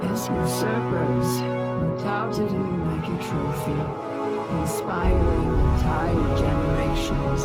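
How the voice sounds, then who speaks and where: An actor playing a solemn, foreboding guardian of a fallen realm in a video game, grave and formal. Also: medium pitch. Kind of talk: dramatic game voice acting.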